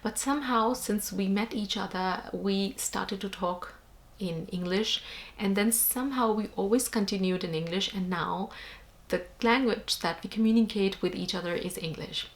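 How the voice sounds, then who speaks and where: Indian-accented woman with a creaky voice. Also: mid-range voice, normal speed. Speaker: vlogger. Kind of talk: casual talk to camera.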